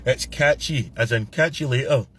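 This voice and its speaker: A man's voice: medium pitch, normal speed, fairly bright tone, breathy edge. A Scottish man in his forties with a Glasgow accent, chatting casually and joking to camera as a social video creator. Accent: Glaswegian Scottish. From Scotland. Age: 40s. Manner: joking, chatty, laughs between lines. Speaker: TikTok creator.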